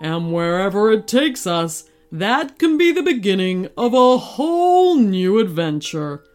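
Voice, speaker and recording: A woman's voice: medium pitch, big pitch swings, unhurried, soft background music. A woman voicing a thoughtful, friendly little male dog in a storybook, giving kind advice. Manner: warm, thoughtful, advising.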